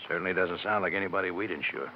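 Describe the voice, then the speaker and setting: Actor as low-voiced brisk American claims executive. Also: male voice, close to an everyday voice. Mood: businesslike. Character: brisk, efficient male insurance claims executive. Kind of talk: 1950s radio drama acting. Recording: dull, faintly noisy 1950s radio recording.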